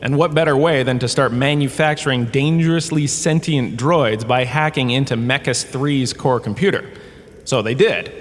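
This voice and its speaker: A man's voice: mid-range voice, moderate pace, natural voice. A man playing a glib, self-amused washed-up drama star giving a wry, conversational comedic lecture with theatrical emphasis. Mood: wry, explanatory. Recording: echoey cave-like reverb, ambient noise behind.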